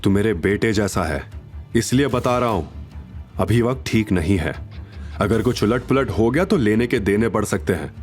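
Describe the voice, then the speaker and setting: A man's voice: on the low side, expressive, moderate pace, a little mellow, faint music behind, close to an everyday voice. A man playing a stern middle-aged uncle in an audio drama, commanding and threatening, yet protective. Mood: hushed caution. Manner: scolding, commanding, interrogating. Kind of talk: Hindi audio drama acting.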